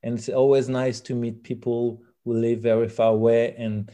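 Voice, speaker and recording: A man's voice: mid-range voice, flat delivery, normal speed, dark tone. A man from France with a French accent answers interview questions conversationally, searching for his words and repeating himself. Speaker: interviewed band musician.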